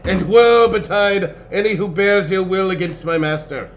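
A man voicing a tall, suspicious, commanding henchman with a rasping voice in a fantasy story. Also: high voice, moderate pace, a little hiss or hum, voice pushed up, natural voice.

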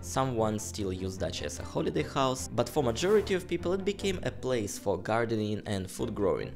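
A man in his twenties with a Russian accent, casually narrating a tour in a relaxed, chatty way with slightly hesitant phrasing. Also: mid-range voice, a little fast, creaky, music in the background. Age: 20s. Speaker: vlogger giving a house tour. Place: outdoor garden plot. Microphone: phone at arm's length.

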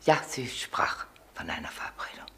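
An actress playing a guarded, intimidated housekeeper in a German crime radio drama, terse and reluctant, with a breathy voice. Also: female voice, normal speed, fairly bright tone, in a whisper, close to an everyday voice. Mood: guarded, subdued. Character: guarded, intimidated housekeeper giving clipped answers.

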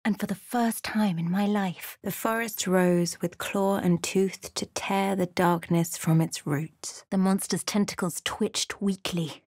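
An actress playing a thoughtful adventurer in a video game, with a measured, dramatic storytelling delivery. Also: female voice, medium pitch, steady tone, darkish tone, dry recording, clean studio sound. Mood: hushed, tense narration. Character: thoughtful adventurer woman recounting her journey. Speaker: voice actor for a player character. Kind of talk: scripted game narration and character lines.

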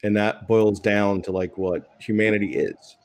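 A man's voice: on the low side, steady tone, moderate pace. A middle-aged man speaking earnestly as a panelist in a discussion. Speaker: panelist, military veteran and writer. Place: indoor room at home. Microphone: video call.

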